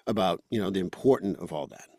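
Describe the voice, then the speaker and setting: A low-pitched man speaking English with a Quebec French accent, his first language being French. He hosts a casual podcast conversation, blunt and joking with strong opinions, recorded dry and close with no room sound. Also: expressive, quick pace, dark tone, no echo. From Quebec, Canada. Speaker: podcast host.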